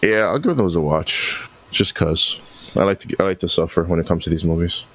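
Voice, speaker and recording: Male podcast co-host, deep voice, wry and informal, loose conversational delivery. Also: fairly quick, fairly bright tone, slightly rough. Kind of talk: casual chat.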